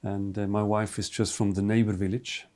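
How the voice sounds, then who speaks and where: A middle-aged man from Switzerland, a native Swiss German speaker with a Swiss German accent, answering interview questions in English in a relaxed, halting way with many fillers. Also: fairly low pitch. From Switzerland, near Bern. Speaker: hotel manager, guest.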